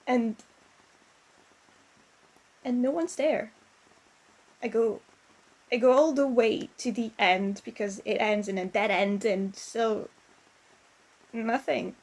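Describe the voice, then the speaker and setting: A woman playing a distraught, lonely young female ghost in an emotional audio roleplay, speaking haltingly with stammered repetitions. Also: on the high side, fairly even intonation, rough recording. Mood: uneasy, halting.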